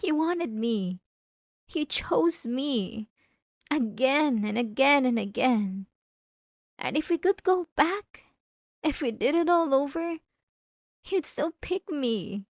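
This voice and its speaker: A woman playing a possessive, obsessive wife in a dramatic ASMR roleplay, speaking in an intimate, taunting and insistent way with drawn-out emphasis. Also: high-pitched, expressive, no echo, studio quality, exaggerated voice. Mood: insistent, impassioned. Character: possessive, obsessive yandere wife, sweetly menacing and manipulative.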